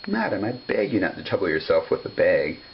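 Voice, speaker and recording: Guy doing a sly posh-mannered fox gentleman from a storybook, super courteous, swoopy pitch, dull hissy recording. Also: wildly swooping pitch, brisk, vocal fry, exaggerated voice. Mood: coaxing. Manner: polite, formal, coaxing. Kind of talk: storybook character voice.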